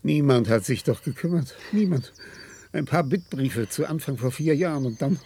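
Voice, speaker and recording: Gebrochener, heiserer alter Mann auf der Flucht. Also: male voice, medium pitch, normal speed, warm tone, breathy edge. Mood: shaken, haunted. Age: older. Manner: pleading, faltering, repeating himself. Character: broken, frightened old official fleeing a prison camp. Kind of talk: German radio play acting.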